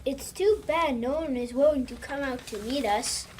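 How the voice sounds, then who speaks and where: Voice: high-pitched, moderate pace, a little mellow. A child reading lines from a script aloud as a character. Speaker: student reader. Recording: distant mic, noisy room ambience.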